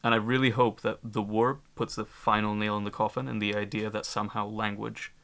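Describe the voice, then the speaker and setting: A man's voice: lowish voice, little pitch movement, brisk, creaky, fairly bright tone. A man from Ukraine speaking as a guest on a discussion panel, candid and emphatic, often restarting his sentences.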